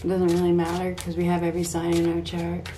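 Middle-aged female tarot reader, slightly low voice, halting improvised delivery with repeated phrases, home recording with audible background noise. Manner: halting, repeats phrases as she thinks aloud. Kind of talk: improvised tarot reading to camera. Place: small home room, indoors. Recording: clear steady background room noise.

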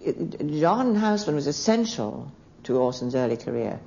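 An older woman reminiscing in an interview, telling her story in an emphatic, deliberate and articulate way. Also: sits low, sing-song, gravelly, dark tone. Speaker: interviewee recalling a theater colleague. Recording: dull archival interview with background noise.

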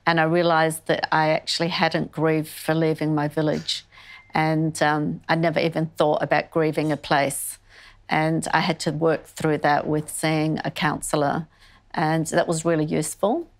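A woman's voice: sits low, monotone, moderate pace. An older woman from northern Greece who moved to Australia as a child, speaking candidly and thoughtfully as an artist being interviewed. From born in northern Greece, moved to Australia as a child. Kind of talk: reflective conversational interview. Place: indoor wood-panelled art studio. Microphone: lapel mic.

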